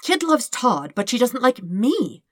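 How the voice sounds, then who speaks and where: Woman voicing an excitable, theatrically panicky man, swooping widely. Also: a little high, unhurried, no room sound, voice pushed up. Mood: frantic, insistent. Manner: theatrical, emphatic, swinging between alarm and sheepishness. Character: excitable, dramatic, flustered man in love, panicky and earnest. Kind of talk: fan fiction audiobook reading.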